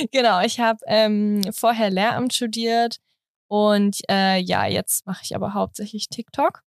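A woman's voice: medium pitch, expressive, takes it slowly, creaky, dry recording, studio quality. A woman in her twenties from Berlin with a Berlin German accent, a podcast guest who chats casually with lots of fillers and laughs. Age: 20s. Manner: chatty, many fillers and "halt", laughs often. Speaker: guest, influencer. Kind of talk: casual interview chat.

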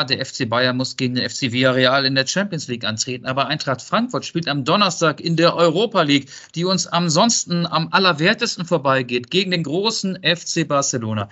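A man co-hosting a casual football podcast and acting as quizmaster in relaxed conversation. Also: a little high, highs cut off.

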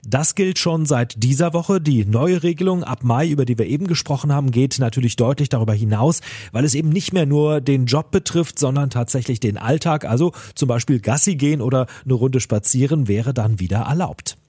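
A man's voice: medium pitch, a little fast, warm tone, slightly rough, dry recording, studio quality. A man, a radio news editor, explaining the news in an informal way. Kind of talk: explanatory news talk with the presenter.

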